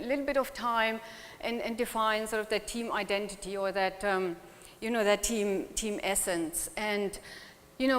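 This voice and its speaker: A middle-aged woman from Germany with a German accent, giving a chatty conference talk in which she jokes and asks the audience questions. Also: a little high. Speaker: conference speaker. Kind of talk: conference presentation. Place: conference hall. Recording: long hall reverb.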